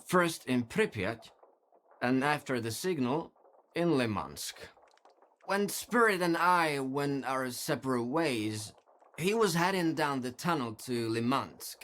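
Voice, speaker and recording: A man's voice: medium pitch, lively intonation, slow, a bit bright. An actor playing a weary, remorseful man, speaking earnestly and wistfully in dramatic video game dialogue. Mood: reflective, grateful. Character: weary, remorseful man devoted to a mysterious zone.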